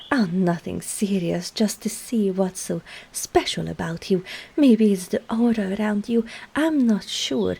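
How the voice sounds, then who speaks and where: Woman playing a sly forest witch, teasing ASMR roleplay, unhurried, gravelly creak, coy murmur. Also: medium pitch, dark tone. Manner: coy, hesitant, murmuring into half-whispers. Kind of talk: ASMR roleplay, addressing the listener.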